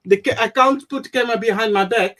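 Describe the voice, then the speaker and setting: A man with a Dutch accent talks loudly and haltingly, pausing often on fillers and restarting his sentences. His voice sits fairly high for a man. He explains his electronics project in a casual, hands-on way. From Netherlands. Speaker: guest showing an electronics project. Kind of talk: casual live-stream show-and-tell.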